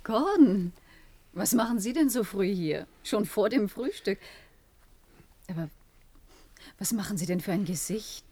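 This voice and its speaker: An actress in a science-fiction radio play, playing a brisk, sceptical colleague on a submarine who coaxes and questions. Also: female voice, mid-range voice, expressive, dark tone, slightly rough. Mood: surprised, concerned.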